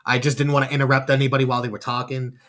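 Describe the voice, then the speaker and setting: A man's voice: medium pitch, level tone, very fast, fairly bright tone. A man hosting a podcast, chatting casually and laid-back, often asking "you know what I mean". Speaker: podcast host, rapper.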